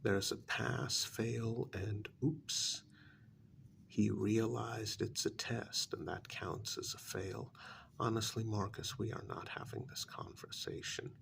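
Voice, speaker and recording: A man playing a blunt, put-upon vampire counselor in a soft-spoken ASMR role-play, breathy and often near a whisper, halting and formal. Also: fairly low pitch, steady tone, slight background noise, heavily acted. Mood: exasperated, defensive.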